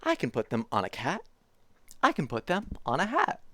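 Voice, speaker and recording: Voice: medium pitch, wildly swooping pitch, moderate pace, pushed and bright, voice pushed up, heavily acted. A streamer reading a bedtime storybook aloud, giving the spotted creature a slightly dopey voice and speaking his lines in a singsong, rhyming way. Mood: boastful.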